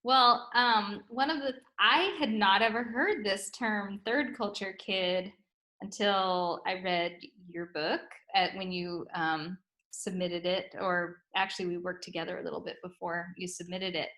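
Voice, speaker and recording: A woman's voice: medium pitch, steady tone, a little slow, sharp tone. A woman interviewing an author, asking questions in a friendly, engaged way with many fillers. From US-Mexico border. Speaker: publisher and interviewer.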